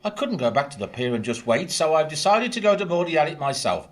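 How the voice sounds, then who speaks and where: A man's voice: fairly high pitch, big pitch swings, a little fast, slight background noise. A man acting an anxious townsman in a radio play, urgent and earnest. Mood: worried. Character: anxious townsman, Mister Short.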